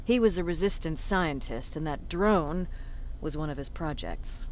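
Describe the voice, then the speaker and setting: A woman's voice: low-pitched, animated, measured pace, a little hiss or hum, low-quality recording. A woman playing a battle-hardened resistance commander in a video game, with a blunt, authoritative manner. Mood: wry, reminiscing.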